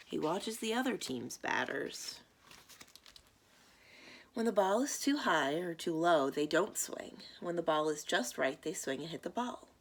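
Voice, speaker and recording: Deliberate low-voiced woman reading a children's story. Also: expressive. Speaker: library storytime reader. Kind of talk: read-aloud children's story narration.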